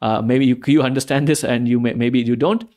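A young man whose first language is Hindi, presenting a screen-recorded software tutorial in a casual, explanatory way with frequent fillers and restarts. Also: mid-range voice, fairly even intonation, brisk.

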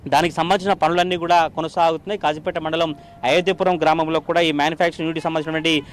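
Male field reporter, fairly high voice, rapid formal news delivery with filler sounds. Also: level tone, clear, resonant tone. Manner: fast continuous delivery with frequent "aa" fillers.

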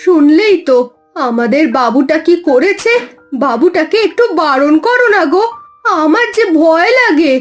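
A woman's voice: high-pitched, lively intonation, a little slow, soft background music. A woman voicing an anxious village mother in a cartoon. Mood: worried. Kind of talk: cartoon voice acting.

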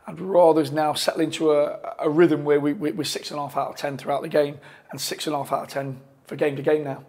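A football manager in his forties giving a post-match interview from a press room, talking in a measured way with frequent restarts and fillers on a lapel mic. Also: a little high, little pitch movement, a little mellow. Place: press room in front of a sponsor board.

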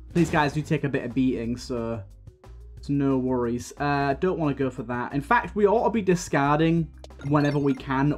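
A man hosts a relaxed gaming commentary, his voice slightly raspy as he comes down with a cold. He thinks out loud in an easygoing way, full of small fillers, at a middle pitch. Quiet game music plays underneath. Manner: chatty, thinking aloud with many fillers. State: coming down with a cold. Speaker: gaming video host. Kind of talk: casual let's-play commentary. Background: faint game music.

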